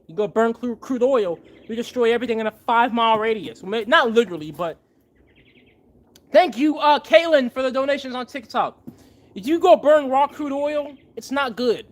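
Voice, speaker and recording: A man hosting a casual livestream, earnestly thanking donors by name and rallying viewers. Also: extremely high pitch. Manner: fervent, rallying. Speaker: livestream host and machine builder.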